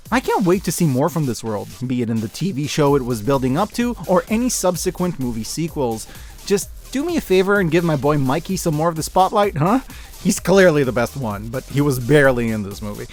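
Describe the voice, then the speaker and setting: Male host, 30s, slightly high voice, scripted but informal and emphatic commentary, faint music underneath. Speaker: host of a year-in-review media video. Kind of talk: scripted enthusiastic video-essay commentary.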